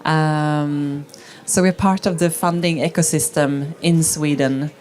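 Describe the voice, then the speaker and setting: Woman, lowish voice, steady, informative panel talk. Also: slow pace. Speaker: head of documentary at a regional film fund.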